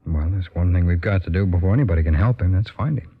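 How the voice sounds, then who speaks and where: Deep, quick-talking sympathetic detective. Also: male voice, husky. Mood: steady, sympathetic. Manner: measured wording, matter-of-fact, kindly. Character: steady, sympathetic male police detective. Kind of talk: old-time radio drama acting. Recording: dull, lo-fi old radio recording.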